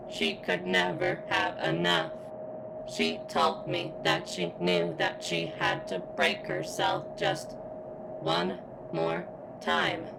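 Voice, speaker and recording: A woman playing a sentient robot girl in an ASMR roleplay, speaking haltingly and deliberately as if in constant pain, earnest and devoted. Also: rumbling low, vocal fry, background noise, heavily acted.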